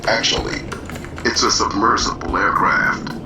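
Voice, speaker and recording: An actor voicing a terse, commanding pilot in a sci-fi audio drama, speaking matter-of-factly through a computer-synthesized voice. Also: male voice, fairly low pitch, a little slow, over music, poor audio. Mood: terse, deadpan. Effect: computer-synthesized voice processing.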